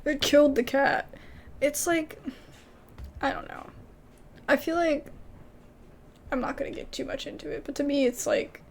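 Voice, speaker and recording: A woman's voice: sits high, fairly even intonation, moderate pace, gravelly, pauses often, a little hiss or hum. A woman doing casual let's-play commentary, reading game subtitles aloud with playful, apologetic asides. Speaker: gaming streamer.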